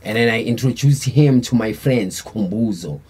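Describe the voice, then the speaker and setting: A South African man in a casual interview, spinning his story in a loose, rambling way. His voice is husky and dark, with a mellow, easygoing tone. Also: mid-range voice, moderate pace. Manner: laid-back, rambling, peppered with slang fillers. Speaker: band member, guest.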